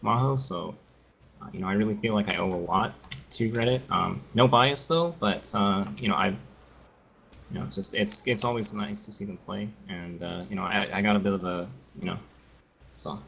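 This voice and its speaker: An easygoing man from Canada co-casts a game match, explaining things patiently with plenty of fillers. His voice is low and gravelly with vocal fry, and a faint noise sits under it. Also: moderate pace, bright, forceful tone. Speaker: co-caster. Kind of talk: casual game commentary.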